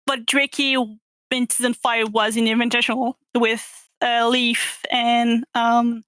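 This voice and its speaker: A woman's voice: a little high, level tone, unhurried, fairly bright tone, smooth, dry recording. A woman from France with a French accent, speaking English as a second language, chatting hesitantly as a podcast guest with many fillers. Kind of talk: casual interview chat.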